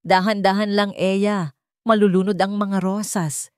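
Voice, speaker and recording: A woman with a Filipino accent voicing a gentle, teasing, devoted father in a story. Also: mid-range voice, little pitch movement, a little slow, smooth, dry recording, studio quality, lightly acted. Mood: gentle warning. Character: gentle, playful, devoted young father of five.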